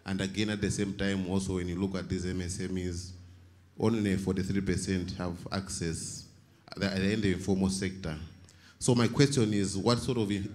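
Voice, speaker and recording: Man, deep pitch, panel questioner, unscripted formal delivery, halting phrasing. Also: a little mellow. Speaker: panel participant asking questions.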